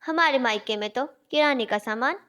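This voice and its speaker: A woman's voice: high-pitched, moderate pace, background noise, close to an everyday voice. A woman voicing a young, boastful daughter-in-law in a Hindi cartoon story, with a showy, falsely innocent manner. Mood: boastful, complaining. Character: boastful young daughter-in-law bragging about her parents' home.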